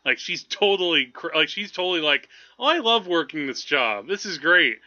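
Guy on a movie podcast, really bright kinda high voice, rambly stop-start talk, slightly muffled lo-fi sound. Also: big pitch swings. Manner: rambling, halting, thinking aloud. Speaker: film podcast host. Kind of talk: casual movie discussion.

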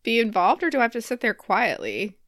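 A woman's voice: fairly high pitch, sing-song, fairly quick, a bit bright, no room sound, clean studio sound. A woman co-hosting a podcast in casual, jokey chat, with a giggly manner. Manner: giggly, laughs readily through her talk. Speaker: podcast co-host.

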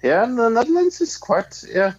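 A man chatting casually and haltingly, searching for words, on a dull lo-fi mic. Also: very high voice, big pitch swings, noisy background. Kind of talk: casual chat.

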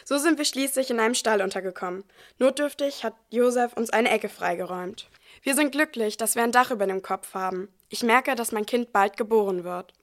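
Higher-pitched woman playing expectant Mary. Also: a little fast, close to an everyday voice. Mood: relieved. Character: Mary, poor, weary, heavily pregnant young woman. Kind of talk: scripted nativity radio play monologue.

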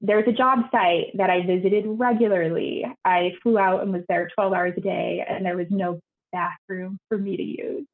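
A young woman architect answering interview questions on a video call, earnest and quick to repeat her words, her voice going high when she gets excited. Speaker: architect guest. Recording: tinny call audio.

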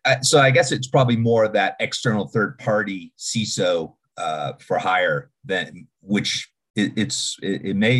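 A man in his forties from Boston, a podcast guest talking casually in a halting way, restarting and repeating words. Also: low-pitched, fairly bright tone, slightly rough. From Boston, United States. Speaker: podcast guest, security executive. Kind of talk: casual interview talk.

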